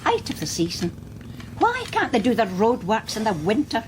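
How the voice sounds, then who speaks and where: A woman's voice: fairly high pitch, huge pitch swings, moderate pace, a little hiss or hum. An actress playing a fretful older Scottish mother who runs a guest house, chatty and fussing, in a radio drama. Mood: fretful, indignant. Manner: chatty, fussing, mildly indignant. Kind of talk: naturalistic radio drama acting.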